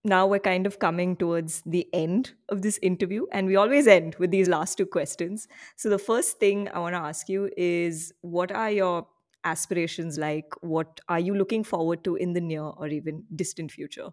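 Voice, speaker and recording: A woman's voice: on the low side, steady tone, moderate pace, a little mellow. A woman from Chennai hosting an interview podcast, asking questions in a friendly, encouraging way. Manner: friendly, encouraging, laughs along. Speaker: podcast host.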